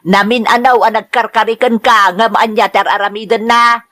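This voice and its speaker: A woman's voice: a little high, steady tone, normal speed, a bit bright, close to an everyday voice. A woman with an Ilocano accent playing an angry older mother in an audio drama, scolding and indignant. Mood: exasperated, scolding. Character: angry older mother scolding her son's unfaithful wife.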